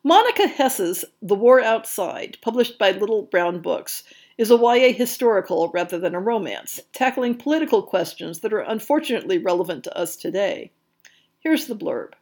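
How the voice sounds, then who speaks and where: A woman hosting a podcast, delivering scripted book news in an articulate, measured way with bookish phrasing. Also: mid-range voice, very animated, a little mellow. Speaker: podcast host.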